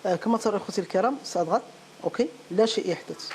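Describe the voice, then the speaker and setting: A woman giving an unscripted step-by-step software tutorial, halting and repeating phrases as she searches for words. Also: mid-range voice. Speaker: programming tutorial instructor. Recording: clearly noisy home-made recording.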